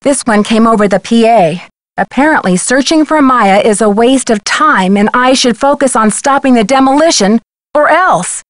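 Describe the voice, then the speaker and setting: A woman plays a clever, earnest young female sleuth in a video game. She explains things in a composed way, in a fairly high voice, recorded dry. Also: expressive, moderate pace, dry recording, lightly acted.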